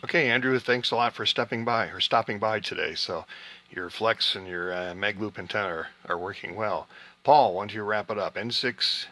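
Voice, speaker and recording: A man with a low, bright, forward voice runs a ham radio get-together. He talks casually as host, acknowledging other operators and passing the turn along in radio procedure. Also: moderate pace, slight background noise. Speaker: amateur radio operator hosting an on-air contact party. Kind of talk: casual radio net chat, signing contacts off.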